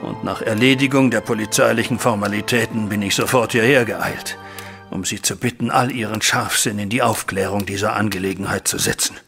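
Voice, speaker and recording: A man's voice: on the low side, steady tone, a little fast, gravelly, a bit bright. An actor playing an anguished middle-aged father in an audio drama, speaking in a formal, agitated and dramatic way. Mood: grave. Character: distraught, anguished banker father recounting a family scandal. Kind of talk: German audio drama acting. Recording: studio audio drama with music underneath.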